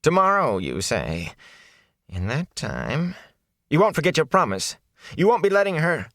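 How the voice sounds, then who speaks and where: A man voicing a fearful, sly and boastful ragged peddler in an audiobook, with a rasp, a whine and folksy rustic phrasing. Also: a little high, huge pitch swings, unhurried, fairly bright tone, no echo, clean studio sound, pitched above the performer's own voice, heavily acted. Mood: pleading, wary. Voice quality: raspy, sometimes dropping to a hoarse whisper.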